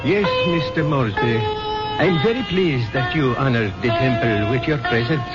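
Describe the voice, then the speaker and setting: A man's voice: mid-range voice, moderate pace, natural voice. A man playing a serene, formal temple doctor. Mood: calm. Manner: calm, gracious, formal. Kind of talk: 1970s radio drama acting. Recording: dull old radio recording, loud music.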